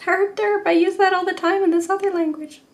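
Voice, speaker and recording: A woman's voice: very high-pitched, fairly even intonation, a little fast. A woman hosting a live watercolour painting stream, chatting with viewers in a rambling, laughing way. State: sore neck.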